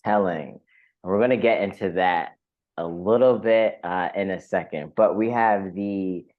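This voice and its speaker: Effusive low-voiced man hosting a podcast. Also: unhurried, narrow, tinny audio. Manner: effusive, hesitant phrasing with repeated words. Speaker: podcast host, interviewer. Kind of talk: conversational podcast interview.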